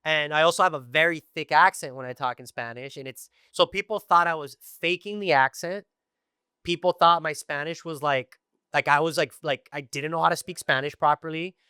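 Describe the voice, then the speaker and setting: A middle-aged man from Tijuana and East Los Angeles tells stories in a bright, crisp, fairly high voice. He talks casually and with energy, full of "you know" and "like". The recording is close and dry. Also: no room sound. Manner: animated storytelling with many fillers. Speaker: guest. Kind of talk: casual podcast conversation.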